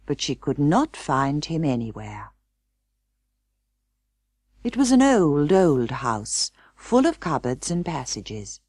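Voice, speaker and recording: A woman narrating a children's storybook in a measured, scripted storytelling style. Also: deep voice, a little mellow, dry recording, clean studio sound. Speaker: audiobook narrator.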